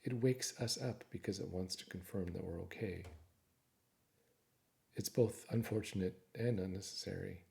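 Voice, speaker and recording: A man narrating a guided meditation in a calm, soothing, measured way, with frequent pauses. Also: low-pitched, creaky.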